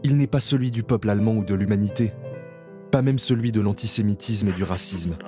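Male narrator, middle-aged, lowish voice, measured documentary delivery. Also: music in the background. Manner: measured, solemn reading.

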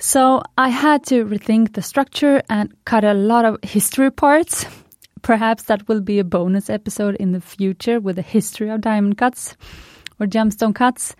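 A woman's voice: medium pitch, a little mellow, no echo, clean studio sound. Chatty Swedish-native woman podcast host. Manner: chatty, enthusiastic, fond of puns. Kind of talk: scripted podcast monologue.

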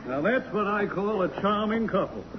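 An actor playing a blunt, grumbling, complacent middle-aged trustee in a 1950s radio drama. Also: male voice, high-pitched, expressive, moderate pace. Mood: jovial. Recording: dull, echoey, faintly noisy radio recording.

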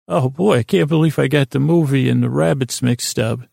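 Male bedtime podcast host, creaky voice, close dry sound, soothing and rambling, often breaking off mid-thought. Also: mid-range voice, normal speed, darkish tone, no echo. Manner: meandering, hesitant, self-interrupting; lulling, soothing delivery.

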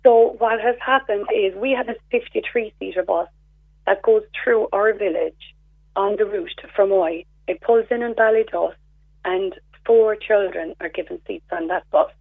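A hoarse woman calling in to a radio show, methodically explaining a problem on behalf of a group of parents. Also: mid-range voice, normal speed, no echo. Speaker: caller, parents' representative. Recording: phone line.

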